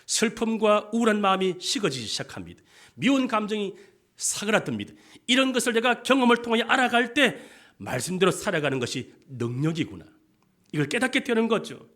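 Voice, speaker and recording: A man's voice: high voice, big pitch swings, brisk, a bit bright. A male senior pastor preaching a sermon with passion, asking the congregation questions and having them repeat after him. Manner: impassioned preaching with call-and-response questions.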